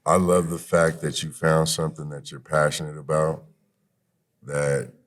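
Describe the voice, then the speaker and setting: A man's voice: rumbling low, flat delivery, normal speed. A man speaking as a podcast guest and youth activist in a conversational, motivational way, encouraging and often saying "you know".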